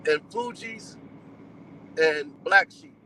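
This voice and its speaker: A man joining a casual radio chat who tells stories in an animated, drawling way. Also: high-pitched, slow, slight background noise, poor audio.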